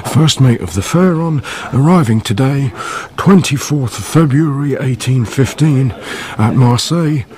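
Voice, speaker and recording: A man's voice: medium pitch, expressive, measured pace, mellow, natural voice. An actor playing a jealous, hot-headed young fisherman in a radio drama, with an impassioned delivery. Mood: intent. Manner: impassioned, volatile. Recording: tavern chatter behind.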